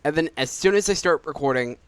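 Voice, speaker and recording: A man's voice: a little high, animated, fast talker, smooth, no room sound. A young adult man hosting a gaming video with casual, conversational commentary.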